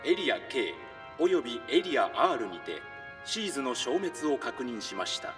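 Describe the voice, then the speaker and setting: A man's voice: medium pitch, sing-song, moderate pace, lightly acted. A man playing a formal control-room announcer in an anime-style voice drama, clipped and procedural. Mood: official. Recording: distant, echoing announcement over music.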